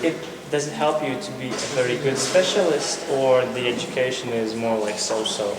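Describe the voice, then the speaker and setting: A man's voice: mid-range voice, fairly even intonation, moderate pace, very noisy. A young man interviewing a student, often rephrasing his questions as he goes. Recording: distant mic in echoey hall with crowd.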